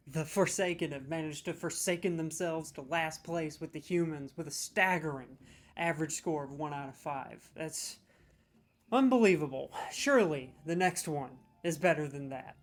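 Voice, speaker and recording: A man delivers a comedic ranking rant, swearing freely and handing out mock-serious scores. He rambles and stumbles over his words when he gets worked up, with faint music behind him. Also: a little high. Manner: stammering restarts when excited. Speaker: comedic judge of game voice lines.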